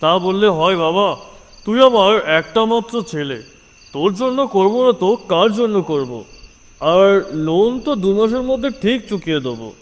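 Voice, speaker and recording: A man playing a loving, self-sacrificing father in an audio story, coaxing and gently insistent. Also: high-pitched, sing-song, moderate pace. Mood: fond, insistent. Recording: long hall-like reverb.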